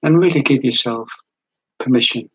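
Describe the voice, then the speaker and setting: A man leading a guided meditation in a gentle, soothing, unhurried way, with long pauses between short phrases and a breathy edge to the voice. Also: medium pitch, no room sound, rough recording.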